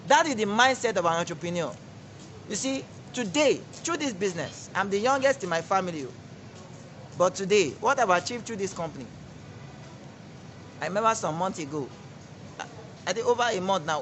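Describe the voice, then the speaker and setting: A young Nigerian man with a Nigerian accent tells his success story as a network marketing director, pressing his points in an emphatic, preachy way. His voice sits high and rings bright and forceful. A faint hiss runs underneath. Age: 20s. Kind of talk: testimony interview.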